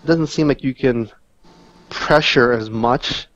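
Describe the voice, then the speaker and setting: A man talks as a guest player on a gaming chat show, in a middle-pitched voice. He explains things casually and off the cuff, with loose conversational phrasing. The sound is dry, with very little room. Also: unhurried, a bit bright, no room sound, muffled recording. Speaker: guest player giving game analysis. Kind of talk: casual unscripted game discussion.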